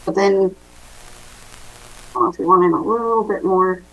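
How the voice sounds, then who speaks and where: A woman's voice: on the low side, normal speed, recorded from a distance, muffled recording. A woman staff member giving informal, tentative remarks at a public county meeting, in a conversational way.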